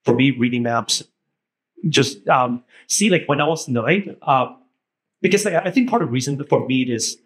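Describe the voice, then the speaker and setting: A man's voice: medium pitch, normal speed, rough recording. Chatty man, Cantonese native. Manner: rambling, friendly, many "right?" tags. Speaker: panelist. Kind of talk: casual personal sharing. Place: meeting room panel table. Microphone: handheld mic.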